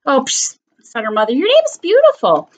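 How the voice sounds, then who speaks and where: A woman's voice: high voice, huge pitch swings, a little fast, a little mellow, slight background noise, pitched above the performer's own voice. A woman reading aloud, voicing a loving mother who speaks tenderly and soothingly. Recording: slightly muffled lo-fi recording.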